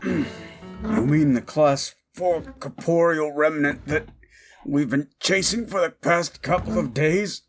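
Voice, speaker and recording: A man's voice: on the high side, slow pace, over music. A man playing a nerdy, matter-of-fact scientist who hunts ghosts in an audio drama, speaking in precise, technical terms. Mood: matter-of-fact, dry.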